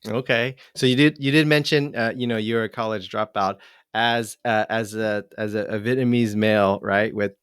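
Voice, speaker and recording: Host: man in his forties, smooth resonant low voice, dry close sound, friendly interviewer. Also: steady tone, moderate pace, a bit bright, no echo. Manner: friendly, hesitant with restarts. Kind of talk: hosted interview.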